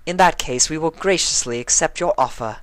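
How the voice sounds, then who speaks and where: Man putting on a high, genteel lady pony voice. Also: fairly quick, fairly bright tone, no room sound, close to an everyday voice. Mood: gracious. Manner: genteel, theatrical, formal diction. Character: refined, dramatic young mare, gracious and genteel.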